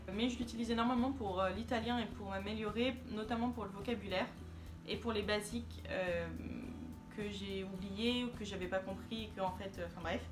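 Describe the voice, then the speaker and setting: A woman's voice: medium pitch, steady tone, moderate pace, music in the background. A woman vlogger talking casually to camera, chatty and hesitant with many fillers.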